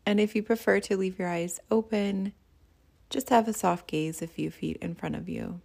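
A woman leading a guided meditation as a teacher, speaking in a soothing, gentle and unhurried way. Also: medium pitch, creaky.

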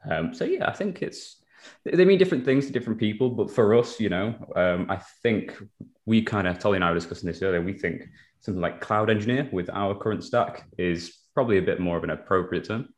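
A man's voice: lowish voice, lively intonation, brisk. A man speaking as an interview guest, explaining his engineering work in a casual, professional, conversational way.

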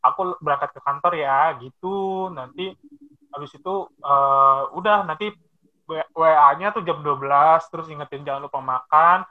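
A man's voice: a little high, moderate pace. A man in his twenties chatting casually and telling stories with quoted voices. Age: 20s. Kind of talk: casual chat. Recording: dull lo-fi sound.

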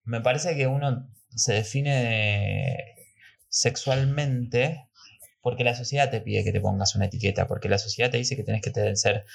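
A man's voice: mid-range voice, fairly even intonation, normal speed, vocal fry. A man with an Argentine accent, a podcast guest explaining his opinions conversationally and emphatically.

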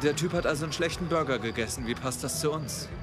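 An actor playing a cocky young monster hunter with a dry, flippant manner in a dubbed TV drama. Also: male voice, fairly high pitch, fast talker, close to an everyday voice. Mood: wry, flippant. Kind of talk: German-dubbed TV series acting. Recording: loud music over the voice.

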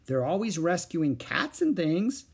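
A man voicing an eager, helpful boy full of ideas, in a husky voice. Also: a little high, very animated, measured pace, voice pushed up, exaggerated voice.

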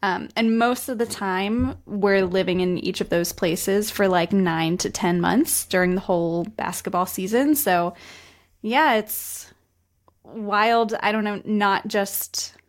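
A woman's voice: mid-range voice, slow. A woman from West Virginia, a guest in a casual interview, friendly and modest, laughing easily. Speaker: guest, entrepreneur.

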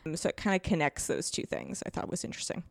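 A woman's voice: fairly low pitch, wildly swooping pitch, fast talker, gravelly, no echo. A woman guest on a podcast, chatting casually and retelling things in an emphatic way. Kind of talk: casual chat.

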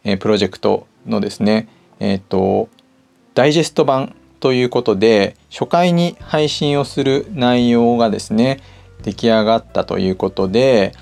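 A man's voice: mid-range voice, moderate pace, gravelly, a little mellow. A man hosting a podcast, talking casually and politely in a conversational way. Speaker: podcast host. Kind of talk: casual solo talk.

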